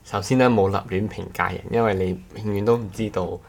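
Low-voiced man, casual co-host. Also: steady tone, fairly quick, gravelly. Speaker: podcast co-host. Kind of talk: casual chat.

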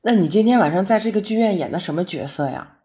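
一位女演员在广播剧里饰演闪送女快递员，说话口语化、直来直去，热心又有点较真。. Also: female voice, medium pitch, lively intonation, fast talker, dark tone, lightly acted. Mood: quirky, agreeable. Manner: colloquial, blunt, good-natured. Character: chatty, straightforward female delivery courier, earnest and quirky. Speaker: courier in an audio drama. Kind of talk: naturalistic modern audio drama dialogue.

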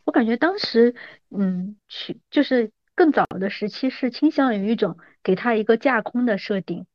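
Higher-voiced woman hosting a muffled podcast chat. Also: animated, moderate pace, no room sound. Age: 30s. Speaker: podcast host.

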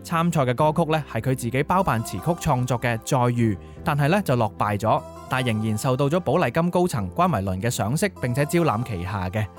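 A man hosts a music nostalgia show. His voice sits at an average pitch as he reads scripted narration, with music playing softly behind him. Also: expressive, a little fast, hardly any pauses. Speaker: host of a Cantonese music nostalgia programme. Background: background music.

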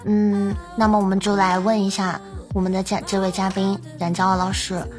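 A woman's voice: medium pitch, flat delivery, brisk, soft background music. A woman hosting a podcast in casual conversation. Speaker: podcast host. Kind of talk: casual chat.

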